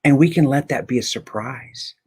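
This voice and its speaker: A man with a medium-pitched voice talks as a guest in a casual, inspirational conversation. His phrasing is deliberate and emphatic, building through repeated openings. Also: gravelly, darkish tone, husky.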